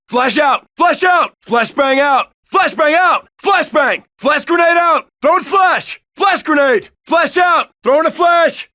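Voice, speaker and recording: A man's voice: very high voice, sharp tone, dry recording, studio quality, full character voice. An actor playing a tough male SWAT police officer in a video game, giving clipped, commanding tactical call-outs. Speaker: video game player-character voice. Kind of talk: scripted tactical barks and radio call-outs.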